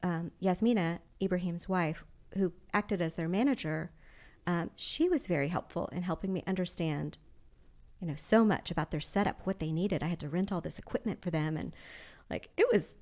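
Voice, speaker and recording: A woman's voice: fairly low pitch, moderate pace, a little mellow. Enthusiastic woman guest telling stories. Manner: enthusiastic, rambling storyteller. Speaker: podcast guest. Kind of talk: casual interview chat.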